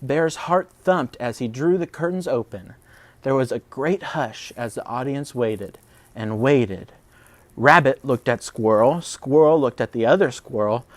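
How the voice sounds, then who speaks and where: A man reading a children's story aloud in an expressive, dramatic way. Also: mid-range voice, unhurried, no room sound. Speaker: preschool storybook reader. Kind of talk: animated children's story narration.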